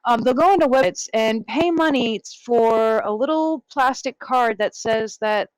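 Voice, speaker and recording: A woman's voice: a little high, unhurried, no room sound, rough recording. A woman guest on a podcast explaining things casually, in a matter-of-fact and opinionated way, on a headset mic.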